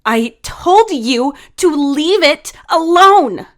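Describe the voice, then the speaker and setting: A woman's voice: high-pitched, lively intonation, drawn-out words, voice pushed up, exaggerated voice. A woman voicing a bossy, hot-tempered teenage sister in a story, snappy, whiny and sarcastic. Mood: furious.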